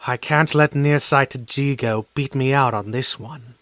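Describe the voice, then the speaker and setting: An actor as a slow, deliberate hired hunter. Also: medium pitch, a bit bright, no room sound. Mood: menacing, unhurried. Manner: deliberate, muttering to themself. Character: cool, slow-talking hired hunter stalking prey. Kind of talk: game fandub acting.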